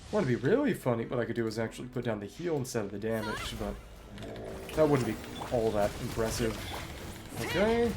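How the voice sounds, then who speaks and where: A man's voice: mid-range voice, sing-song, a little fast. A man doing live gameplay commentary as a streamer, thinking aloud in an understated, wry, musing way. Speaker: video game streamer. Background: game music.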